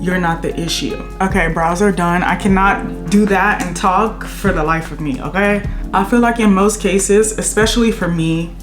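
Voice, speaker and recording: A woman's voice: lowish voice, normal speed, hardly any pauses. Late-twenties woman, candid rambling vlog chat, roomy room sound, faint music underneath. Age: 20s. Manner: chatty, rambling, candid venting. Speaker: beauty vlogger. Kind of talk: casual get-ready-with-me chat. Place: her apartment.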